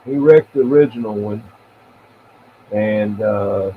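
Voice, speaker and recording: An American man in his seventies chatting casually on CB radio in a halting, rambling, reminiscing way. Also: on the low side. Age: 70s. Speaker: CB radio operator and live streamer. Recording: tinny band-limited radio audio with faint noise.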